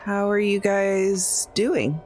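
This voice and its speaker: A woman's voice: medium pitch, steady tone, slow, darkish tone, faint music behind. A woman player in a tabletop roleplaying game, improvising casual in-character replies.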